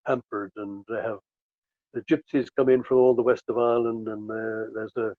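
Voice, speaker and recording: A man being interviewed, explaining things casually and haltingly, repeating words as he goes. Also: on the low side, little pitch movement, no echo. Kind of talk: casual reminiscing. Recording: thin phone-like line.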